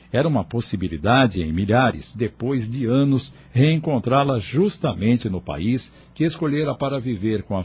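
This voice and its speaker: A male narrator reading an audio drama. His voice is low and mellow with a husky grain. He tells the story in a measured, steady way.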